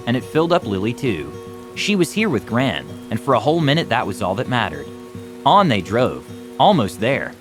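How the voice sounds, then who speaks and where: A man narrating a children's storybook expressively, as a storytime reader. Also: mid-range voice. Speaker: storytime reader, music club teacher.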